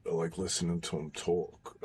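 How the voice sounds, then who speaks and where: A young baritone man streaming live, talking casually and bluntly with a strained, sore voice after throat surgery, sometimes slipping into a whisper. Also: flat delivery, unhurried, a little mellow, a little hiss or hum. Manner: blunt and profane, drops to a whisper at times.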